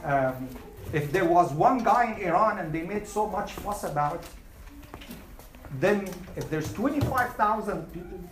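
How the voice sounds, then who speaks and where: A man from Egypt, teaching a class, emphatic and repeating his points. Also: on the high side. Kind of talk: classroom storytelling. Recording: echoey room, faint noise.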